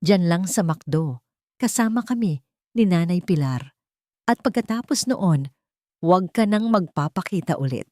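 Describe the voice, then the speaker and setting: A woman's voice: lowish voice, lively intonation, unhurried, pauses often, warm tone, no echo, studio quality. A woman voicing a hurt, hardened mother who speaks coldly and sternly in an audio story. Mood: cold, firm. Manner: cold, stern, bitter. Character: hurt, hardened young mother guarding her son.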